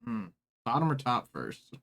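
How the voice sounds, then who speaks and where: A man's voice: medium pitch, wildly swooping pitch, measured pace, gravelly, fairly bright tone. A man chatting casually on a stream in a tentative, unsure way. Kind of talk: casual chat.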